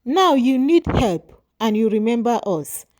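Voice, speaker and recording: A woman voicing an abandoned middle-aged wife who answers in a cold, reproachful way, within a slow storybook reading. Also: a little high, very animated, darkish tone.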